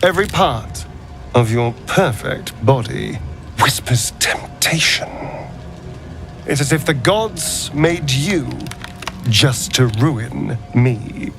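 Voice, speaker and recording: A flirtatious, theatrical lover in a fantasy game. He purrs and teases at an unhurried pace with very lively pitch, over faint music. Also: creaky. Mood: playful, flirtatious. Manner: teasing, purring, theatrical. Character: suave, flirtatious male lover with theatrical flourish. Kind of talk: dramatic game romance dialogue.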